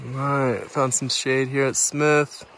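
A young man narrates his road trip in an easygoing, unhurried drawl, filling pauses with relaxed "ums". He holds a phone at arm's length, in a truck cab or out at camp. Loud road and wind noise runs under his voice. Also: medium pitch. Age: young adult. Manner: laid-back, chill, filler-heavy. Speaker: vlogger. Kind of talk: casual road-trip vlog. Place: inside a pickup truck and outdoors at campsites. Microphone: phone camera at arm's length.

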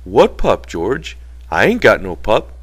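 A man voicing a big, gentle, simple-minded man in a storybook reading, with childlike, pleading phrasing. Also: on the low side, huge pitch swings, slow, husky, exaggerated voice. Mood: innocent, evasive.

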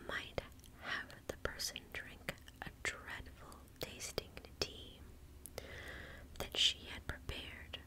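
Softly whispering woman, slow ASMR storyteller. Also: poor audio. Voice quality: soft, gentle whisper. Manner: slow, careful, unhurried delivery. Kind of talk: ASMR storytime, whispered reading of a spooky tale.

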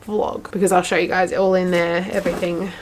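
A young woman in her twenties with an Australian accent, chatting casually to a handheld camera at home, relaxed and rambling with lots of fillers. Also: fairly low pitch, a little fast, a little hiss or hum. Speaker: vlogger. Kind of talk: casual vlog chat to camera. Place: small home room with plants, indoors. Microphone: camera at arm's length.

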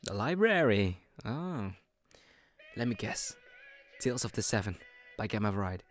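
Male actor as obsessive young yandere friend, audio roleplay, soft breathy delivery, slow pace, teasing false sweetness, background music. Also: lowish voice, big pitch swings. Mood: friendly, teasing. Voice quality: soft, often breathy and whispering. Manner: slow, chuckling, teasing, coaxing. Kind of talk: intimate audio roleplay, second-person.

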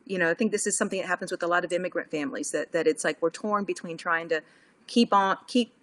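A woman's voice: lowish voice, fast. Middle-aged woman chatting on a video call. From Cuba. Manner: animated, thinking aloud with many fillers and restarts. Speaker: painter. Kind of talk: casual interview talk. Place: home studio indoors. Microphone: laptop webcam video call.

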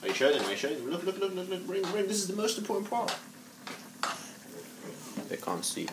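A man's voice: high voice, big pitch swings, fast. A man, an uncle, chatting playfully and bossily while cooking in a home kitchen and directing whoever holds the camera. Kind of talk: casual home cooking chat. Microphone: tablet camera held nearby. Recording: loud kitchen noise, handheld tablet. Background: clatter of pans and frying.

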